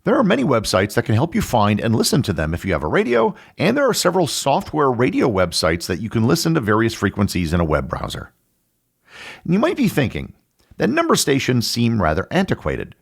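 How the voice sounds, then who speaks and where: A man hosting a podcast, reading a scripted educational narration in a measured, explanatory way with emphatic phrasing. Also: mid-range voice, huge pitch swings, creaky, slightly rough. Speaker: podcast host.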